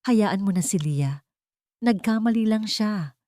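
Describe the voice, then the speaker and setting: A woman voicing a smooth, soft-spoken and controlled husband in a romantic drama story. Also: medium pitch, expressive, slow pace, no echo, clean studio sound, voice pushed up. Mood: placating. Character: smooth young husband, gentle in public, coldly threatening privately.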